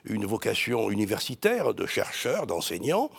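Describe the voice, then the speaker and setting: A man in his seventies from Marseille with a slight Marseille accent, a priest and scholar answering interview questions in a measured, hesitant way with many fillers and dry wit. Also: lowish voice, sing-song, vocal fry, slightly rough. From Marseille, France.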